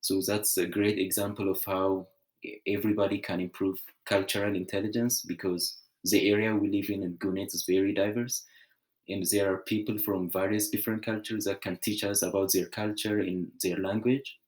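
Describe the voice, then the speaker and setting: A young man from Ethiopia, giving an earnest, measured prepared presentation with small restarts. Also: lowish voice, level tone, a little mellow, poor audio.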